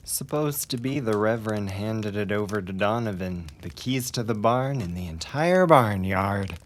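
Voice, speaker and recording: A performer playing an ominous small-town gossip with dark secrets in a period audio drama, talking in an understated, insinuating way. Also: medium pitch, animated, moderate pace, natural voice.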